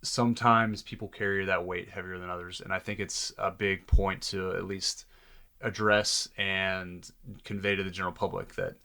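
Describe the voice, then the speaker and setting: A man with a slightly low voice talks in a podcast interview. He sounds like a serious, reflective professional, explaining things earnestly in a conversational way. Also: level tone, normal speed, vocal fry, pushed and bright. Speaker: doctor, podcast guest.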